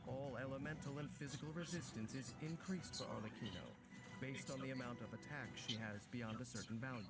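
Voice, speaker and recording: A man's voice: medium pitch, brisk. Formal male narrator over prominent music. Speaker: trailer narrator. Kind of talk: scripted game trailer narration. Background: music behind the voice.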